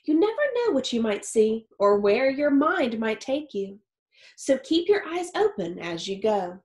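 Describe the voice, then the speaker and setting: High-voiced woman reading aloud in an echoey room. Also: sing-song, measured pace, darkish tone. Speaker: teacher reading a picture book aloud. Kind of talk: expressive children's read-aloud. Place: small home office or study with wooden shelves. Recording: roomy, echoey indoor sound.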